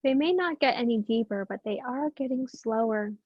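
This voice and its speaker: A woman with a fairly high voice leads a guided meditation as a teacher. She speaks gently and takes her time, leaving long pauses between instructions. The sound is dry and close, though somewhat dull and lo-fi. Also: expressive, no echo.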